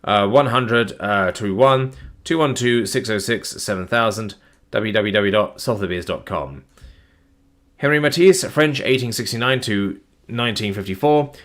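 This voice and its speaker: A tired man, reading long file listings aloud on a live stream in a flat, plodding way, his voice starting to give out. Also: on the low side, unhurried. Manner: flat, plodding reading of numbers with weary asides. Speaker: document narrator.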